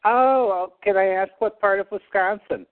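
A man calls in to a radio program and presses the guest with questions. His voice is notably high for a man. It comes over a thin, tinny phone line. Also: normal speed. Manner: probing questions. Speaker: caller.

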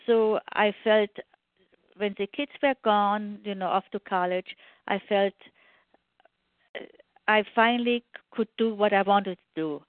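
A woman's voice: mid-range voice, no room sound. An older woman with a German accent, a radio guest telling about her past with many fillers and restarts. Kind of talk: interview conversation. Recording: tinny phone line.

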